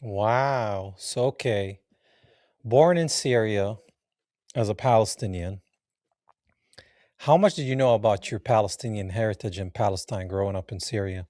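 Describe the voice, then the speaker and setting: A man's voice: on the low side, a little slow, pauses often, mellow, no echo, studio quality. A man hosting a podcast, asking a guest curious, inviting questions. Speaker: podcast host. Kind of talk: interview questions.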